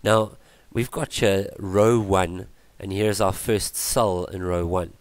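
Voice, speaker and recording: A man's voice: sits low, fairly even intonation, takes it slowly, dry recording. A man teaching a coding tutorial over a screencast, thinking aloud with fillers and self-corrections. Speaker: tutorial instructor.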